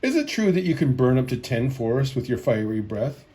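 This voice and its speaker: A man's voice: mid-range voice, big pitch swings, brisk, mellow, close to an everyday voice. A man voicing a bold, clever young princess from a storybook, with an emphatic, exclaiming delivery. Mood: flattering.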